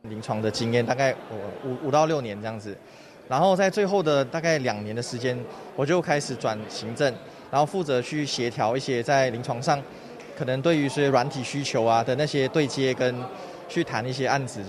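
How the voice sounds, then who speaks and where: A man's voice: medium pitch, fairly quick. A man from Malaysia, a student interviewee, explaining things conversationally in an interview. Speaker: student interviewee, former nurse.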